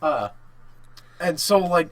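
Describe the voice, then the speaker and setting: Halting man chatting casually on a podcast. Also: a little high, expressive. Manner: halting, thinks aloud in short bursts. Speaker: podcast co-host. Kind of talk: casual chat about games and work.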